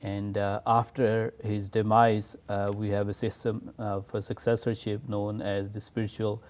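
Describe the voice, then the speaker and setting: Deep and even, this man speaks with a level, unhurried delivery. He is an imam answering interview questions, laying out each point patiently and methodically. There is little rise and fall in his low voice. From Pakistan. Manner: patient, explanatory, measured.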